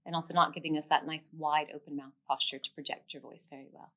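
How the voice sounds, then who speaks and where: A woman with a low voice explains a technique step by step in the manner of a speech therapist. She is encouraging and affirming as she instructs. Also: fairly even intonation, fast talker, pushed and bright.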